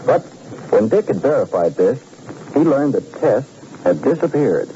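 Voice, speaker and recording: A man narrating a 1940s radio serial as its announcer, with brisk, dramatic delivery. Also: medium pitch, very animated, mellow, dull audio. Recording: old radio broadcast, faint hiss.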